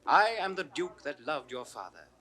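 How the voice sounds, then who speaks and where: Gracious, high-toned British duke. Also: male voice, big pitch swings, normal speed, sharp tone. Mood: gracious, welcoming. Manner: stately, declamatory. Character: gracious, kindly exiled duke welcoming guests. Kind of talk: classical Shakespearean stage acting. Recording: 1960s studio audio drama recording.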